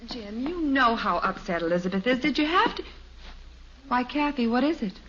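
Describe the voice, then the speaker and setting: An actress playing a caring, worried mother in an old-time radio family drama, gentle but insistent. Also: a little high, lively intonation, moderate pace. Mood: concerned. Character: caring, anxious mother and housewife. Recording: muffled old radio recording, faint hiss.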